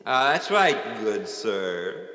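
An actor playing a boastful, reckless gentleman with pompous, blustering, flowery speech in a 1950s radio drama. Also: fairly low pitch, big pitch swings, slow, full character voice. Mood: cocky, uneasy. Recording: 1950s radio, echoey reverberant hall.

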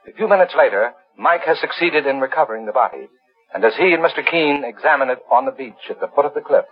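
Husky old-time radio announcer, male. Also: medium pitch, animated, quick pace. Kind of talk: dramatic 1940s scripted radio narration. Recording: muffled, dull 1940s radio recording.

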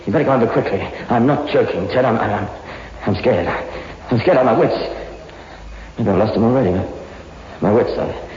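An actor playing a tough young crime reporter who is terrified and near cracking, in a melodramatic 1960s radio horror drama. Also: male voice, mid-range voice, moderate pace, long pauses, slight background noise, lightly acted. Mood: scared, urgent. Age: young adult. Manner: melodramatic, swinging from hushed narration to frantic shouting. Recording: muffled, echoey old radio recording with music.

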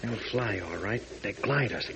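Actor as a decisive young male pilot hero, deep voice, 1940s radio serial, hiss behind. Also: unhurried, lightly acted. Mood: urgent. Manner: firm, clipped orders. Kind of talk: 1940s radio drama acting. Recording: hissy old radio broadcast.

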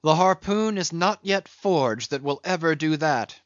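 A man's voice: fairly high pitch, expressive, a little slow, no echo, lo-fi sound, pitched above the performer's own voice. Man acting a grieving, bitter sea captain, raised voice. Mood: bitter, sorrowful. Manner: solemn, archaic, declamatory. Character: grieving, hollow-cheeked male whaling captain, bitter and solemn.

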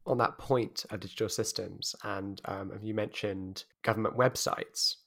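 A man interviewing a guest, asking a long, measured question. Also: lowish voice, steady tone, creaky, fairly bright tone.

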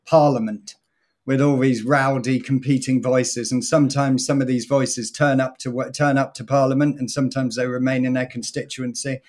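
A man's voice: medium pitch, little pitch movement, normal speed, darkish tone. A man talking as a guest in a casual podcast chat, starting hesitantly and then explaining ideas through an extended image. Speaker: guest author.

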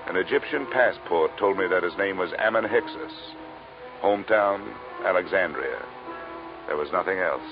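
An actor playing a tough, sardonic American insurance investigator, wry and blunt, in a vintage radio detective drama. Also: male voice, low-pitched, lightly acted. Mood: hardboiled narration. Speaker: lead detective and narrator. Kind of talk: 1950s radio detective acting. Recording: muffled, hissy old radio recording.

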